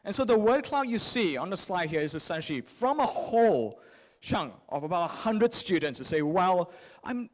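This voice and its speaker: A man in his thirties giving an earnest motivational psychology keynote at a podium microphone, phrasing deliberately with repetition and rhetorical questions. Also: high voice, sing-song. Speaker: keynote speaker. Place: large convention hall, on stage at a lectern.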